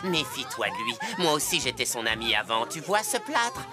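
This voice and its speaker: A bitter, wounded cartoon tortoise, grave and full of grievance. The voice is low and swings in pitch. Music plays clearly behind it. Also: wildly swooping pitch, moderate pace, a crowd in the background. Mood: bitter, warning. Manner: grave, aggrieved. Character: resentful injured tortoise, warning of betrayal. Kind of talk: French children's cartoon dialogue. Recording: music under the voice.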